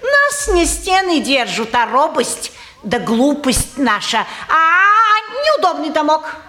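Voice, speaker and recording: A sly, brisk, middle-aged townswoman in an old stage drama. Her high, bright voice swoops through folksy, sing-song patter full of pious sayings. The recording is a roomy 1950s theatre production. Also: very animated, exaggerated voice. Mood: folksy, lamenting. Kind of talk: mid-century Russian stage acting.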